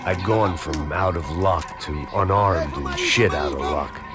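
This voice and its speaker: A bottomlessly low American male voice for a washed-up, bitter ex-cop in a video game. He narrates in sardonic, deadpan noir fashion, fatalistic and world-weary, with loud music playing behind him. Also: measured pace. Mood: grim, sardonic. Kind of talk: hard-boiled noir scripted narration.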